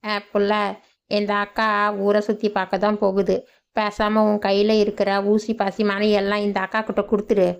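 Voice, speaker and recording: A woman's voice: mid-range voice, little pitch movement, normal speed, darkish tone, clean tone, highs cut off, lightly acted. A woman voicing a lazy, cheeky young village man in a cartoon, with a grumbling, sarcastic manner. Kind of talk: cartoon voice acting.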